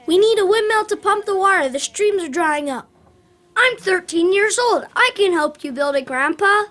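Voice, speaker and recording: Young female performer as a high-pitched earnest farm boy. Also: animated, normal speed, fairly bright tone. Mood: eager. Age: child or young performer. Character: eager thirteen-year-old farm boy, helpful and caring. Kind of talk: school radio drama acting.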